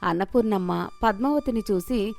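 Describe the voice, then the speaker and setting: Woman reading out a moral story, mid pitch, soft music behind. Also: lively intonation, normal speed, vocal fry. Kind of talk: scripted moral story narration.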